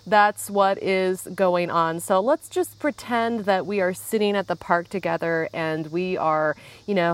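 A young woman hosting a casual solo podcast, chatting and rambling from her backyard while getting over a cold with a lingering cough. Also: mid-range voice. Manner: chatty, rambling, frequent "like" and asides. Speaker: podcast host. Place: outdoors in a backyard.

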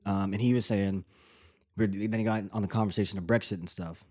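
A man in casual conversation, laid-back, swearing freely and pausing on "uh". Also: fairly low pitch, steady tone, a little mellow.

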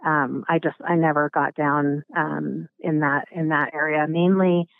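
A raspy-voiced woman from Alaska talks casually as a podcast guest, pausing on ums and circling back on her words. Her voice comes through a thin, phone-like line with no room sound around it. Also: low-pitched, fairly even intonation, no echo. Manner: halting, many ums and restarts. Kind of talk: casual interview.

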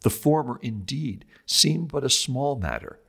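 A man's voice: medium pitch, animated, a little slow, creaky, bright, forceful tone, breathy edge. A man reading a scripted theological text aloud as host, in a solemn, formal, oratorical manner with emphatic phrasing.

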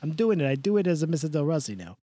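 A man voicing a sentimental, rambling older male host character who adds a stray "a" before words, with a very lively, up-and-down pitch. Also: racing, no room sound. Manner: slips a stray "a" before words, broken grammar, over-the-top gushing. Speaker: podcast host. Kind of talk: comedic in-character podcast bit.

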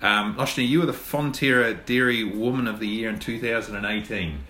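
A man's voice: lowish voice, a little fast, bright, forceful tone. A man with a New Zealand accent hosting a podcast interview, chatty and affirming, with frequent "yeps". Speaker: podcast host. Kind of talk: casual interview. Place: lunch event venue. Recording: roomy, with faint room noise.

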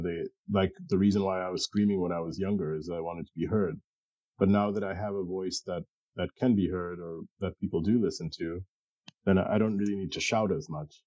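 A middle-aged man with a very mellow, husky voice speaks as a thoughtful interview guest. He talks casually and haltingly, repeating words as he works through his thoughts. The recording is close and dry, though a little dull. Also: low-pitched, dry recording. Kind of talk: casual, reflective interview conversation.

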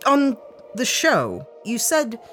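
A woman's voice: a little high, huge pitch swings, takes it slowly, a bit bright, soft background music, heavily acted. A woman voicing a determined, curious young investigator in an audio drama, earnest and questioning. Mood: suspicious.

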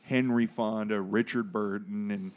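Male podcast co-host, low voice, loose and chatty, prone to tangents and crude jokes. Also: fairly even intonation, a little slow, darkish tone. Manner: rambling, digressive, many fillers and restarts; jokey, profane asides. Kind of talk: casual podcast chat about comics.

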